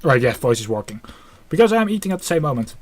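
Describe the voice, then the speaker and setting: A male game streamer talking casually and haltingly, half to himself, on a live stream. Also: medium pitch, big pitch swings.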